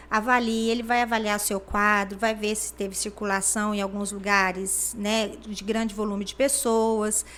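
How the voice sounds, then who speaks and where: A woman's voice: a little high, fairly bright tone. A woman, a regional health official explaining things in an interview with many fillers. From Brazil. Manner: explanatory, many fillers like "né", acts out quoted people. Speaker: regional health superintendent, guest.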